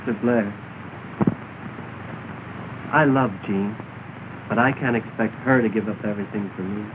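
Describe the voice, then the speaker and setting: Lovelorn, earnest young gentleman, played by an actor, lowish voice, 1930s film, tinny sound. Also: normal speed, background noise, natural voice. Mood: dejected. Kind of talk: 1930s film drama acting.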